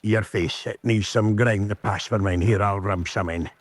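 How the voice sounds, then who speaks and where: A man's voice: fairly low pitch, fairly even intonation, moderate pace, dry recording, lightly acted. A man playing a hungover, grumbling but friendly Scottish roadmender in a radio drama, talking in folksy dialect. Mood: friendly, grateful.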